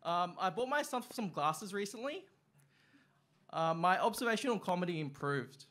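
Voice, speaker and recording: A young man performing open mic stand-up, with a halting delivery full of ums and uhs, on a small club stage. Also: high voice, expressive, fairly bright tone. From Australia. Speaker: stand-up comic. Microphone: stand mic, far camera.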